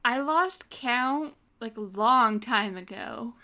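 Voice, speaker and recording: Casting: female tabletop player, conversational, bright clear-cut tone, on the higher side. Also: lively intonation, takes it slowly. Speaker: roleplaying game player. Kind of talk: casual character introduction and banter.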